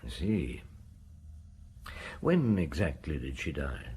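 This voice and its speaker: An actor playing a seasoned, middle-aged police inspector in a radio drama, talking in a measured, conversational, reflective way. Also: male voice, very deep voice, sing-song, darkish tone, slight background noise, full character voice. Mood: calm questioning.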